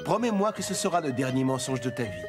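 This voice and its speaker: A man's voice: mid-range voice, sing-song, fast, natural voice. An actor voicing a playful, commanding male genie of the lamp in a cartoon, theatrical and sing-song. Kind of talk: cartoon acting. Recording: music under the voice.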